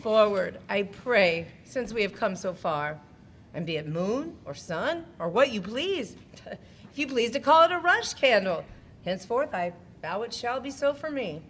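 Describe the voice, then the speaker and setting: An actress playing a spirited wife in a Shakespearean comedy audio play, with theatrical classical phrasing. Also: female voice, medium pitch, big pitch swings, measured pace, fairly bright tone. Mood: exaggerated submission. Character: spirited wife, contrary then mockingly obedient. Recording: noticeable background noise.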